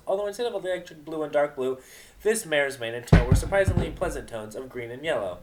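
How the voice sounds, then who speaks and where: Man narrating a story, mid-pitched voice. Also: a little hiss or hum. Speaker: narrator of a fan fiction reading.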